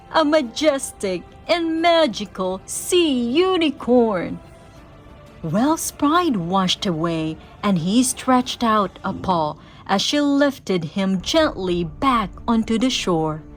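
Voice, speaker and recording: A woman giving an expressive, theatrical read-aloud of a rhyming children's story. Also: fairly high pitch, slow pace, soft background music. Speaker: storybook reader.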